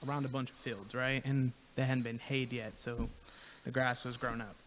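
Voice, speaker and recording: A young man co-hosting a church podcast, telling a personal story in a relaxed, casual way. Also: mid-range voice, normal speed, a little hiss or hum. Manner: relaxed, tells story with lots of "right?". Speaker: podcast co-host.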